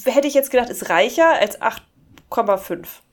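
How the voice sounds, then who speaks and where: A woman's voice: a little high, lively intonation. A German-speaking woman in casual podcast chat, amused and often laughing as she reads scam emails aloud. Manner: amused, giggly, reads English slowly and mockingly. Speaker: podcast co-host.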